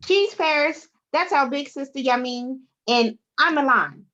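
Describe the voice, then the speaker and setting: A performer playing an excited, confident six-year-old girl in a screenplay table read, over a dull lo-fi line. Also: high voice, a little slow. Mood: excited, sweet.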